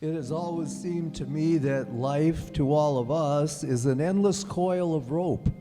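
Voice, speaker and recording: A man's voice: on the high side, natural voice. A man playing a reflective author who gives a solemn, philosophical scripted radio monologue. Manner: measured, philosophical. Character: reflective author solemnly introducing his own story. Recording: echoey hall with music behind.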